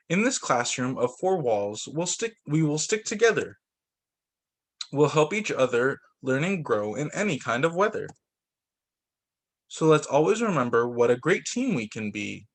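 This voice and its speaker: Guy teacher doing story time, higher voice, really lively reading, chats about the pictures. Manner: expressive, comments aloud on the pictures. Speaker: teacher reading aloud to young students. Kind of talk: picture-book read-aloud with off-the-cuff remarks.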